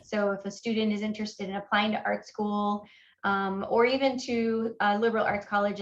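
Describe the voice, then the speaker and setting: A woman in her forties from Honduras, giving a friendly, conversational webinar presentation with frequent fillers as an admissions guest speaker. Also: medium pitch. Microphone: video call. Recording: muffled video call audio.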